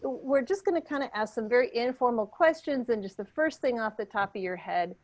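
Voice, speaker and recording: A woman's voice: on the high side, expressive, a little fast. A woman playing a tired, politely upbeat job interviewer in improvised sketch comedy, hesitant and rambling.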